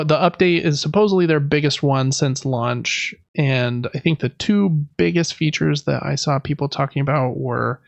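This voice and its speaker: A man's voice: on the high side, creaky, no echo, lo-fi sound. A man co-hosting a podcast, talking through gaming news in a casual, conversational way. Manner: conversational, loosely reading through news items. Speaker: podcast co-host.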